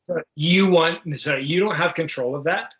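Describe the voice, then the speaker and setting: A man working as game master of a tabletop superhero game, improvising narration and explaining rules in a halting way, searching for his words. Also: a little high, a bit bright, lo-fi sound.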